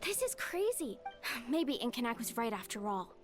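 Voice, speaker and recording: High-voiced young adventurer. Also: female voice, sing-song, normal speed, soft background music. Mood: flustered.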